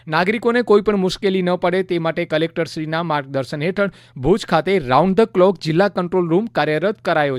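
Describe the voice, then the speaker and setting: A male news reporter with a notably high voice. He narrates a scripted radio report in a very dry, close sound. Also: dry recording.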